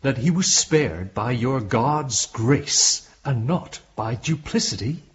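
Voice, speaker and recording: Husky, tormented, guilt-ridden man. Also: mid-range voice, animated, lo-fi sound, exaggerated voice. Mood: challenging, bitter. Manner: slow, intense, probing. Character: tormented guilty man questioning a priest, bitter and remorseful. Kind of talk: radio drama dialogue.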